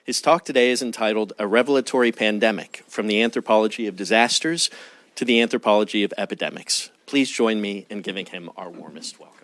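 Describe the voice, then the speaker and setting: A man hosting an event, reading a formal, measured introduction of the speaker. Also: lowish voice, a bit bright, no echo.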